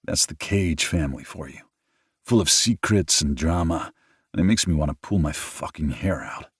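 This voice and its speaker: A man's voice: a bass voice, normal speed, slightly rough, dry recording, clean studio sound. A man voicing a weary, bitter adult man fed up with his own family, swearing freely.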